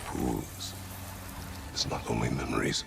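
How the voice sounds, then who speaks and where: An actor playing a brooding, stern old warrior god in a video game cutscene, speaking tersely and gravely in a gruff voice. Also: male voice, little pitch movement, takes it slowly, exaggerated voice. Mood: grave, guarded. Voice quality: deep gravelly voice. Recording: game music behind the voice.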